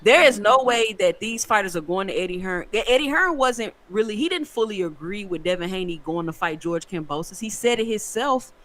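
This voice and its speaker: A man's voice: high-pitched, expressive, fairly quick, few pauses, bright, forceful tone. Insistent man arguing on a podcast. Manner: insistent, lecturing, emphatic repetition. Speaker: podcast co-host. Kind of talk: heated casual debate.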